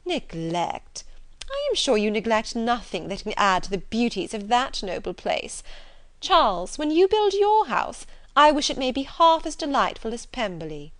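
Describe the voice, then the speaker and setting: A woman's voice: a little high, big pitch swings, moderate pace, fairly bright tone, voice pushed up. A woman narrating a period novel, voicing a sly, fawning young society lady with an arch, insinuating delivery. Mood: gushing flattery. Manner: arch, insinuating, pointed emphasis. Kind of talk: audiobook character dialogue from a period novel.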